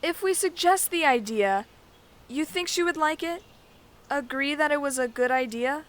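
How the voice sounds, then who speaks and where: Woman acting a female knight, high voice, measured, wry, protective, fantasy audio drama. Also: natural voice. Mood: conspiratorial. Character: female knight, protective, teasing guardian of a princess.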